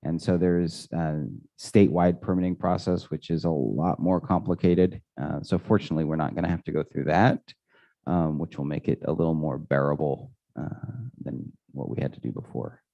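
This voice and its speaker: A man's voice: very deep voice, fairly even intonation, moderate pace, gravelly, mellow, dry recording. A man answering residents' questions at a community meeting, hesitant and full of fillers and restarts. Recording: dull, dry lo-fi audio.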